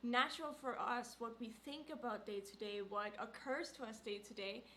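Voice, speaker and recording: A woman guest on a podcast talks in a slightly high voice, casual and engaged. She lays out her ideas as a list, one phrase building on the next, with a faint noise floor underneath. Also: moderate pace, bright, forceful tone. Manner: engaged, building on points in lists.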